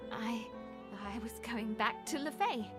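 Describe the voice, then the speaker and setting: A woman's voice: fairly high pitch, measured pace, music in the background, lightly acted. A woman voicing a timid young female alchemy student who stammers and hesitates, in a game.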